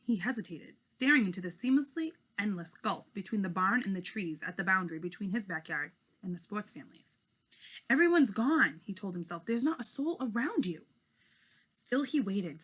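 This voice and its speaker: A woman's voice: medium pitch, a bit bright, low-quality recording. A woman reading aloud and explaining like a schoolteacher, stressing key words and checking in with her listeners. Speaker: teacher reading aloud to fifth graders. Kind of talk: read-aloud lesson, storybook narration and informal explaining.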